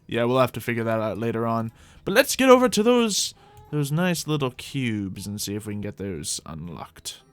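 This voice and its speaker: Male streamer, mid-range voice with lively swings in pitch; casual, playful, rambling gameplay chatter over faint music. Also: normal speed, smooth, no room sound. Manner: playful, rambling, talks to himself and to game animals. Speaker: streamer hosting a Minecraft live stream. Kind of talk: casual live gameplay commentary.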